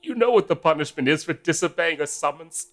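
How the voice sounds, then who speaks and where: A man's voice: fairly high pitch, animated, brisk, a bit bright, faint music behind. A man playing a middle-aged husband and father in an audio drama, weary, resigned and hesitant. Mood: firm, pained.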